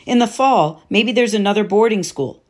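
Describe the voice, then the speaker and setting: Gentle anxious mother, read by a woman: soft, pleading. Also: mid-range voice, lively intonation, normal speed, pitched above the performer's own voice, lightly acted.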